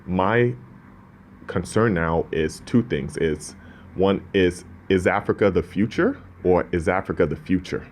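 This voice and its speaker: Low-voiced guy born in ghana, earnest, talks in loops with restarts, faint hum behind. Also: unhurried. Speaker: business owner, guest. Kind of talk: casual interview talk.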